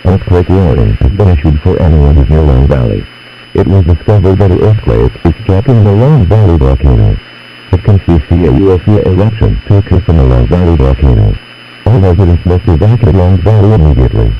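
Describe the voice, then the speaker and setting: Guy doing super deep mechanical emergency alert announcer, formal, swingy pitch, muffled lo-fi audio. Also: very animated, fairly quick, soft background music. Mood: grave, impersonal. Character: very deep automated male emergency alert voice, impersonal. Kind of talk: scripted emergency broadcast.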